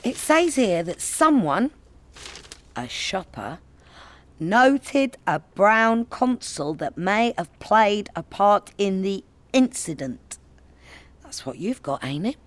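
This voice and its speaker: An actress playing a sarcastic, nagging wife with a London accent in a comedy radio play. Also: female voice, mid-range voice, very animated, slow pace. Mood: pointed.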